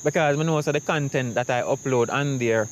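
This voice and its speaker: A man's voice: medium pitch, a little fast, a little hiss or hum, low-quality recording. A man with a Jamaican accent chatting casually to camera as a vlogger, reflective and optimistic in a rambling way. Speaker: YouTube vlogger.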